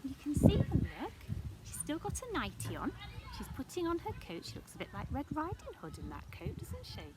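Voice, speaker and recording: A woman's voice: high-pitched, wildly swooping pitch, moderate pace, heavy background noise. A woman reading a picture book aloud expressively for young children, breaking off for chatty questions to her listeners.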